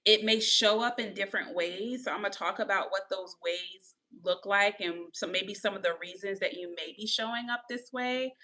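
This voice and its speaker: A woman in her thirties with a slightly nasal voice, hosting a live mindfulness talk. She explains slowly, repeats her definitions, and checks in often with "okay?" and "right?". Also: medium pitch, pushed and bright. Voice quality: a little nasal from allergies. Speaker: mindfulness coach and livestream host. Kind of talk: live-streamed mindfulness teaching.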